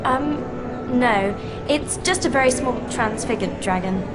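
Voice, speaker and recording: A woman playing a bright, earnest schoolgirl witch in a video game. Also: a little high, slow pace, loud music behind the voice. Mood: eager.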